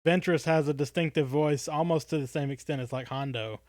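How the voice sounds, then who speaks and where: Male podcast co-host, fairly high for a man, dry close sound. Thoughtful, halting conversational delivery, often doubling back on phrases. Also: fairly even intonation, darkish tone, dry recording. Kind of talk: casual fan podcast chat.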